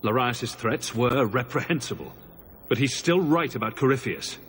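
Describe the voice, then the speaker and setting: Man acting a lively-pitched hero, echoing hall. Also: moderate pace, a little hiss or hum, natural voice. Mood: indignant. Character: adult male mage hero, questioning, principled. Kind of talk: dramatic fantasy video game acting.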